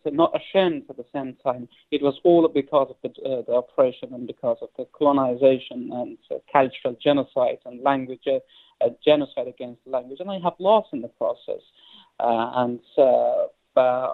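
A man, a poet being interviewed, speaking haltingly with many fillers and restarts. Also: mid-range voice. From Afghanistan. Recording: tinny phone line.

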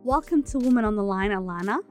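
A woman hosting a community radio current affairs show, presenting a scripted introduction and asking clear questions. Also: mid-range voice, expressive, quick pace, soft background music. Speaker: radio host.

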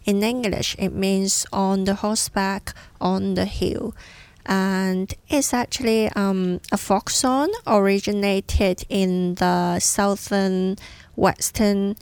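A woman who speaks Cantonese as her first language, hosting a music radio show in a halting, casual way with many fillers. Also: medium pitch, steady tone, a little mellow, smooth, no echo. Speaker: radio host.

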